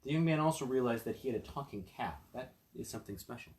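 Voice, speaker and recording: A man reads a children's story aloud in a very animated way. His pitch moves up and down freely around a medium register, and he slips easily between narration and casual asides. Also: fast, a little hiss or hum. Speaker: storyteller, attorney.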